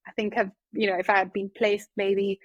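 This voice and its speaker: A woman's voice: medium pitch, flat delivery, fairly quick, no echo, muffled recording. South African woman in her 30s, chatty interview guest, loose and conversational, dry close sound. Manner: chatty, many fillers and restarts. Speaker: guest doctor. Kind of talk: casual interview talk.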